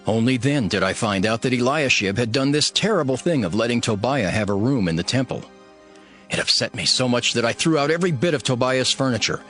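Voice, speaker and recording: A man's voice: medium pitch, animated, a little fast, fairly bright tone, lightly acted. A man acting a stern, devout governor, expressively narrating. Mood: indignant. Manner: earnest, emphatic, solemn storytelling. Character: Nehemiah, stern, devout governor recounting his zealous reforms. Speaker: narrator of dramatized Bible. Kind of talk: dramatized scripture narration. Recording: music bed behind the voice. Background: music.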